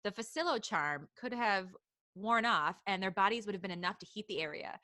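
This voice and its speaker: A woman's voice: medium pitch, expressive, fairly quick, bright, forceful tone, no echo, studio quality. A woman hosting a live podcast, reading steamy fan fiction aloud and breaking into giggles. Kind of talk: live comedic reading and banter.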